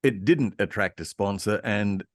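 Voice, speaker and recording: A man's voice: on the low side, unhurried, dry recording, studio quality. A man speaking as a guest historian in a conversational discussion, explaining things like a storyteller.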